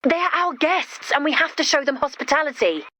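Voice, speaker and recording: A woman's voice: high voice, expressive, normal speed, pushed and bright. A woman voicing an earnest, chatty young heroine with romantic ideals and a bossy streak in a video game. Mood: gently scolding.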